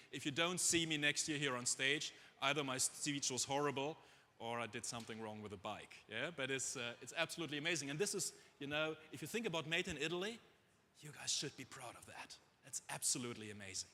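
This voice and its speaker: A man's voice: mid-range voice, pushed and bright. A middle-aged man with a German accent giving an informal, humorous conference talk, self-deprecating and chatty with asides to his audience. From Germany, raised in Dubai. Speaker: chief executive speaking on stage. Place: on stage in a large conference hall. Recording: echoey conference hall, long reverb.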